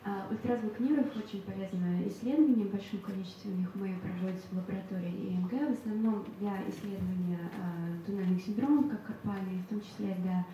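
A woman's voice: mid-range voice, fast talker, few pauses. A woman interpreting in a lecture hall, hesitant, with many fillers. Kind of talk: consecutive interpreting. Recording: echoey hall, distant, faint hiss.

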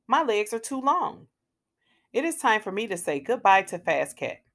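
A woman's voice: medium pitch, sing-song, normal speed, fairly bright tone. A woman voicing an earnest, worried young boy in a children's storybook, with plaintive delivery. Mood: sad, reluctant. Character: young boy, worried and attached to his old bike.

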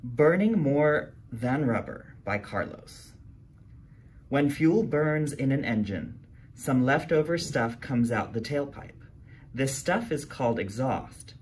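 A man reading a children's picture book aloud, jumping to a higher voice for the characters' lines. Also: slow, slight background noise. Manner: animated read-aloud, jumps high for character quotes. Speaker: storytime reader.

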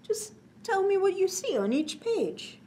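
Woman voicing a gentle, playful grandpa, slow-paced. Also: extremely high pitch, sing-song, slight background noise, pitched above the performer's own voice, exaggerated voice. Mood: gentle coaxing. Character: kindly, playful grandfather settling his grandson at night. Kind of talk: children's storybook read aloud.

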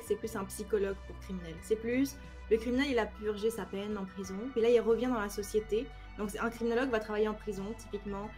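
A woman in her thirties from France, with a French accent and some Quebec expressions, explaining her work in a casual video-call interview with lively hand gestures. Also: medium pitch. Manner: animated, explains with many examples, gestures with hands. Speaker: cybersecurity trainer, guest. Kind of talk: casual interview on a live stream. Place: home room, indoors.

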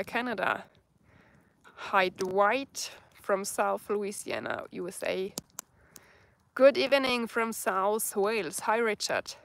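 German woman, 30s, unhurried live-stream host, phone held close outdoors, groping for English words. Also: medium pitch, fairly bright tone. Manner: slow, searching for English words. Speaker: bushcraft vlogger. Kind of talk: live stream chat reading comments. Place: outdoors in a dark forest at night by a campfire.

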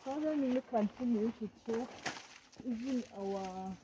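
A woman vlogger giving a casual garden tour outdoors in halting, simple English, recorded on a handheld camera. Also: fairly high pitch, background noise. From Africa. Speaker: vlogger, stay-at-home mother. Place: outdoors in a lush garden plot.

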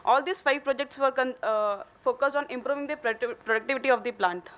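Woman, Indian accent, fairly high voice, company engineer, formal case-study presentation. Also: quick pace, a bit bright. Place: hotel conference hall, at a podium on stage.

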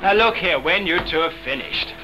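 A man's voice: fairly high pitch, animated, moderate pace, background noise, lightly acted. Guy playing a joking young english RAF lad, bouncy upbeat voice, 1940s movie sound. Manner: cheeky and bantering. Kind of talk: 1940s film acting. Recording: 1940s film soundtrack with music behind.

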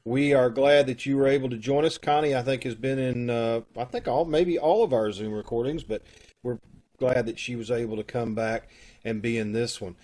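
A man's voice: mid-range voice, moderate pace, a little mellow. A middle-aged man chatting casually as host of an amateur troupe, introducing people over a video call. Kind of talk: casual chat, introducing cast and show. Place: indoor room at home, video call. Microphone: video call microphone.

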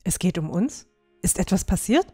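A woman's voice: on the low side, sing-song, moderate pace, dark tone, husky, no echo, faint music behind. A woman playing a confident, eager young dwarf huntress in a fantasy audio drama. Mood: curious, eager.

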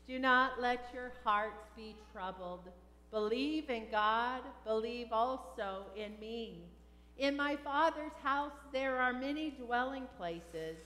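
Slow-speaking woman pastor in an echoing church. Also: on the high side, a bit bright. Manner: measured, deliberate phrasing. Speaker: pastor leading a funeral service. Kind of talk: solemn liturgy and scripture reading. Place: large church sanctuary, indoors. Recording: echoey church with long reverb.

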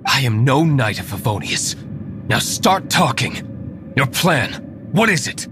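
A man's voice: medium pitch, sing-song, slow pace, music in the background. A man voicing a stoic young nobleman hero in a video game, composed, curt and formal. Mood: cold threat.

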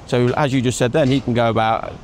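A man in his thirties hosting a casual motorsport chat outdoors, asking quick questions on a lapel mic. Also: medium pitch, fairly even intonation, darkish tone, a little hiss or hum. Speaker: host. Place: outdoors in a racing paddock at night. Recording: faint outdoor street ambience.

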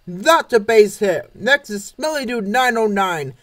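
A man narrates a baseball game as scripted play-by-play. His voice sits fairly high and swings up and down in a very animated way. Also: a little slow. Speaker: narrator of a comic dub series.

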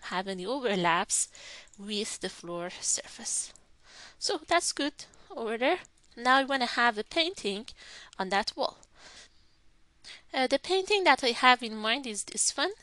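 A woman walking through a software tutorial step by step, thinking aloud as she clicks through the program. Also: a little high, animated, unhurried, dry recording. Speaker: instructor. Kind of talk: screen-recorded software tutorial.

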